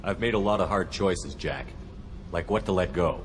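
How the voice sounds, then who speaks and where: Man acting measured commander, very deep voice. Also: close to an everyday voice. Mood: calm, probing. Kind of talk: video game cutscene acting. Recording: long reverb, faint background noise.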